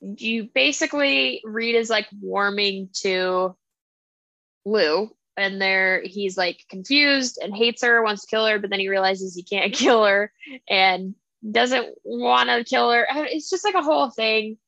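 A young woman co-hosting a casual book podcast, animated and often laughing as she acts out characters' lines. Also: mid-range voice, unhurried, creaky, no echo. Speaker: podcast co-host. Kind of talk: casual chat about books.

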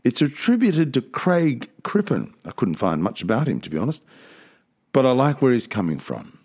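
A man hosting a podcast, talking in a slow, thoughtful, reflective way. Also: medium pitch, wildly swooping pitch, a little mellow, husky. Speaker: podcast host.

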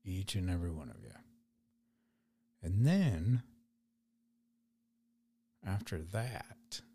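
Raspy, deep-voiced man rambling slowly. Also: big pitch swings, mellow. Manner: rambling, loose, laid-back. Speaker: podcast host. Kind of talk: casual solo podcast chat.

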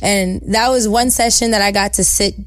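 Bubbly young woman with a soft voice. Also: medium pitch, steady tone, moderate pace, no echo. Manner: bubbly, upbeat, slangy. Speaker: singer-songwriter guest. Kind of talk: casual interview chat.

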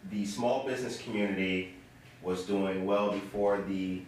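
A man's voice: deep voice, fairly even intonation, measured pace. A man hosting a casual interview, making small talk and asking his guests questions. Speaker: interview host. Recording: very echoey room, distant mic, faint noise.

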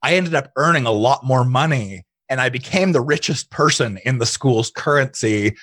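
A man's voice: mid-range voice, moderate pace, fairly bright tone, slightly rough, no room sound. A man speaking as an interview guest, chatting casually and telling personal stories, often quoting his own thoughts. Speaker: interview guest, digital marketing entrepreneur.

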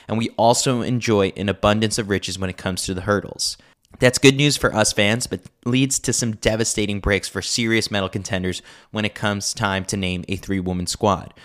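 A man's voice: lowish voice, fairly bright tone. An American man narrating a scripted sports newsletter as a podcast host.

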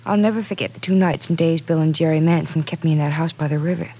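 A woman's voice: low-pitched, fast, mellow, breathy edge, poor audio. An actress playing a candid, caring young woman in an old-time radio drama, conversational and reflective. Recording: vintage radio broadcast.

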